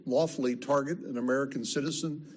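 A man's voice: medium pitch, steady tone, fast talker. A man questioning a witness at a hearing, asking direct, pointed questions. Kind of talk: committee questioning.

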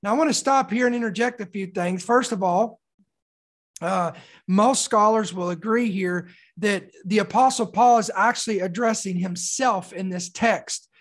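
A preacher delivers an expository sermon in a mid-pitched, slightly raspy voice. The voice sounds mildly hoarse from a sore throat. Key words are stressed deliberately, and phrases are repeated for emphasis between readings of scripture.

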